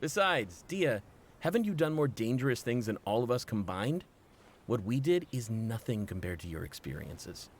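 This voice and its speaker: A man's voice: medium pitch, very animated, moderate pace, husky. A breezy, joking young male mercenary from a fantasy game. His mid-range voice moves up and down a lot, giving him a playful, jovial sound.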